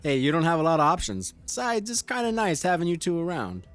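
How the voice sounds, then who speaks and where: A man's voice: a little high, huge pitch swings, fast, faint music behind. A man acts out an easygoing, wisecracking city gang member in an audio drama. His delivery swings freely up and down, full of teasing banter. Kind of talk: casual audio drama dialogue.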